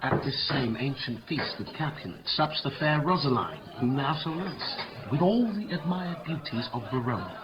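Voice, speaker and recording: Persuasive young noble friend, played by an actor, middle register, fifties radio Shakespeare banter, muffled sound. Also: male voice, mid-range voice, expressive, normal speed, few pauses, noisy background, lightly acted. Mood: teasing. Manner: bantering, persuasive verse delivery. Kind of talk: 1950s radio Shakespeare acting. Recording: muffled old radio recording.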